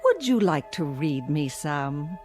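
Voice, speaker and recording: An American actress playing a cruel, nagging middle-aged wife in a radio drama, icily domineering, cutting and mock-polite. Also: female voice, sits low, very animated, slow pace, darkish tone, faint music behind, full character voice. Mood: suspicious, mocking. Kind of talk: scripted radio drama acting.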